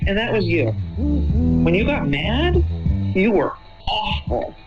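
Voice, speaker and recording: A woman's voice: medium pitch, very animated, takes it slowly. Older woman, muffled sound with music. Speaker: mother being interviewed. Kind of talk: reminiscing in conversation. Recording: dull lo-fi sound, music behind.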